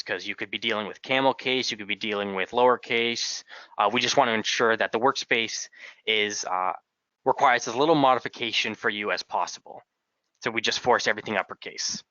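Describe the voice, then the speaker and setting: A man giving a software tutorial as a trainer, talking in a halting way with repeated words, in a slightly dull recording. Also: on the low side, clean tone, no room sound.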